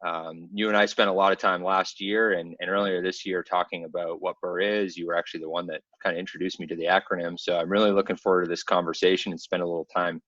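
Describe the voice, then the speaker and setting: A man's voice: sits low, pushed and bright, no echo, highs cut off. A man hosting an interview podcast in an upbeat, conversational style.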